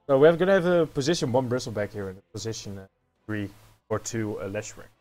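A man's voice: lowish voice, animated, fast, darkish tone, soft background music. A man casting esports in a chatty style, often laughing with his co-caster. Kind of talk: esports commentary.

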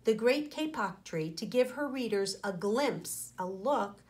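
A woman in her forties, a teacher reading aloud to children and explaining, with deliberate, pausing phrasing. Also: fairly high pitch, animated, darkish tone.

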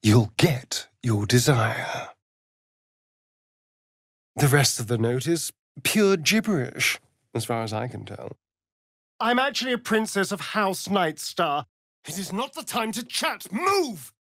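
A man's voice: a little high, big pitch swings, slow, long pauses, a bit bright, no echo, studio quality, heavily acted. A man with an English accent playing a vain, sardonic aristocratic vampire rogue in a video game, theatrical and arch. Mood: forceful, angry, then dramatic, teasing.

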